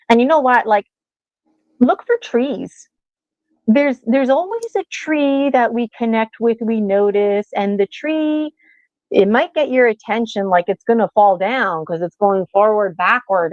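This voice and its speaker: A woman's voice: medium pitch, no room sound, muffled recording. A woman in her forties giving a casual oracle card reading, chatty and rambling, laughing often and acting out little voices. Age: 40s.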